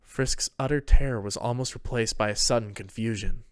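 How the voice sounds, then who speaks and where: A man's voice: mid-range voice, moderate pace, clear, resonant tone, no room sound, clean studio sound. A man reading scripted dramatic narration as the storyteller of a fantasy tale.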